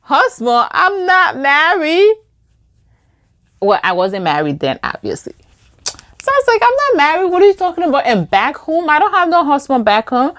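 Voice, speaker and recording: Young Liberian-accented woman vlogger, casual storytime, fairly high voice, animated delivery. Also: moderate pace. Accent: Liberian English. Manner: animated, acts out quoted lines in a higher voice. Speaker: beauty vlogger. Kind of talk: casual storytime while doing makeup.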